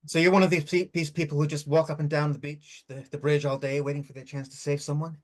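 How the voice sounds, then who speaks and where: A man's voice: on the high side, level tone, fast talker, lightly acted. A man playing a bitter, despairing man in his twenties in a drama reading, curt and defensive. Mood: exasperated. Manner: curt, guarded, irritated.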